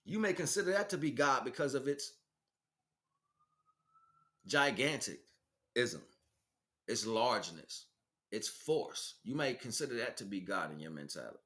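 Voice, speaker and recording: A man's voice: mid-range voice, sing-song, measured pace, long pauses, sharp tone. Man preaching an unscripted spiritual monologue. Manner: emphatic, repeats phrases for stress. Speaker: spiritual teacher, livestream host.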